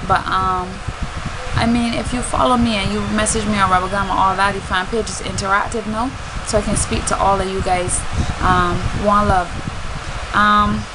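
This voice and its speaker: A woman's voice: medium pitch, steady tone, normal speed, background noise. A woman from Barbados with a Bajan accent talks casually and emphatically to her fans, in an outspoken way. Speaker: singer and spoken word artist. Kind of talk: casual vlog talk to fans.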